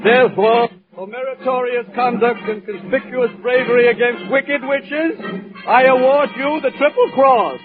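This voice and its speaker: Pompous high-voiced old humbug wizard. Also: male voice, moderate pace. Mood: mock-solemn. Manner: pompous, long-winded, mock-formal oratory. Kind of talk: 1950s-or-earlier radio drama acting. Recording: old muffled radio recording with music behind.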